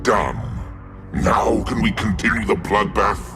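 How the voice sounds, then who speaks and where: An actor voicing a bloodthirsty, mocking demonic male scythe in a game, with a deep gravelly growl. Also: a little slow, music in the background, natural voice. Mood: sneering. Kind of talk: game voice lines.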